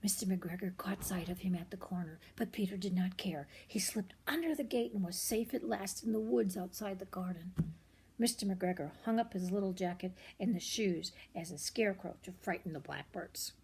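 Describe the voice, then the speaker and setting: An older woman with a warm, relaxed tone reads a children's story aloud. She is expressive, often drops to a hush, and adds simple asides for young listeners. Faint noise sits behind her voice. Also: medium pitch, normal speed. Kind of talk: picture-book read-aloud with asides.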